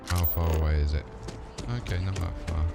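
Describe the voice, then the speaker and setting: A man with a lowish voice commentates casually on a game as he plays. He chats to himself and talks back to the characters, with game music playing behind him. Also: steady tone, a little fast, vocal fry. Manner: chatty, talking to himself and replying to game characters. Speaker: game streamer. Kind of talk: casual live gaming commentary. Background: video game music and sound.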